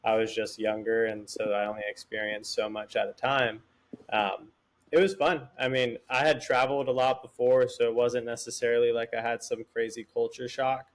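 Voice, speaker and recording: A man from Miami, a guest on a casual podcast, telling stories about himself in a relaxed way. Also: medium pitch, moderate pace.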